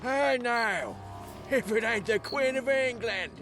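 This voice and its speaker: High-pitched rough street ruffian. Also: male voice, takes it slowly, over music, exaggerated voice. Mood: jeering. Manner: loud street banter, colloquial. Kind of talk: audio drama acting.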